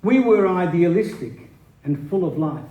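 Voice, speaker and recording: A man's voice: high-pitched, animated, measured pace, warm tone, muffled recording. A man giving a dramatic reading of a memoir on a stage. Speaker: stage reader. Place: live stage reading indoors. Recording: roomy, echoing stage sound.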